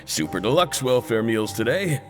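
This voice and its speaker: A man plays an eager, obliging game cook. His pitch swings in a lively way as he delivers polite, cheerful service patter over faint music. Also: moderate pace, lightly acted. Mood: welcoming. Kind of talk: video game voice acting.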